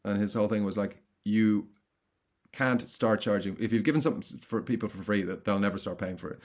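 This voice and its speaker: A middle-aged man answering interview questions in a casual, chatty way, often restarting his sentences. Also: on the low side. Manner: quick, chatty, frequent restarts. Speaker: guest, content marketing consultant.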